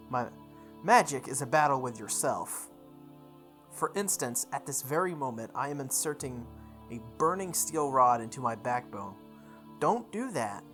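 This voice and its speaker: Low-voiced woman reading a visual novel aloud. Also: fairly bright tone. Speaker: gaming video host. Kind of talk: visual novel playthrough, reading text aloud with casual commentary.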